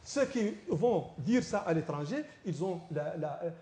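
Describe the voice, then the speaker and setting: A professor from Mauritania arguing as a guest on a TV panel debate, stumbling through repeated restarts. His voice sits fairly high yet sounds mellow and dark. The studio around him is echoey. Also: animated, slight background noise. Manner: halting, many repeated restarts.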